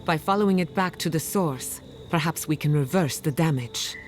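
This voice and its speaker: A blunt, loyal female companion from a fantasy game, played by an actress. She speaks seriously and directly, with music softly underneath. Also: low-pitched, moderate pace, natural voice. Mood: grave. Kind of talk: video game dramatic acting.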